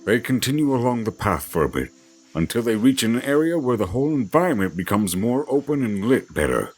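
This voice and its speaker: Male narrator for fantasy audio drama, theatrical storytelling, very animated range, clear musical backing. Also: mid-range voice, a little fast, faint music behind.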